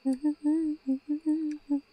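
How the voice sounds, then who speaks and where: A woman's voice: high-pitched, level tone, drawn-out words, warm tone. A young woman from Ireland chatting casually while streaming a game, rambling and breaking off mid-thought. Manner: rambling, self-interrupting, laughs often. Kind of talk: casual gameplay chat.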